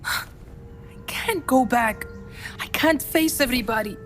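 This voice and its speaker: A woman's voice: sits high, a little slow, a bit bright. A woman playing a twelve-year-old boy guerrilla in a game cutscene, earnest and defiant, with a Latin American Spanish accent. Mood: despairing. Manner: emotional, youthful, impassioned delivery. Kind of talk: dramatic video game cutscene acting. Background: music.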